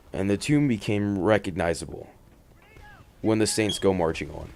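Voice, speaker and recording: A man hosting and reading horror stories in an expressive, dramatic way, moving between hushed and emphatic delivery. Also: fairly low pitch. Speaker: horror story reader and host. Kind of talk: dramatic first-person horror narration with casual commentary.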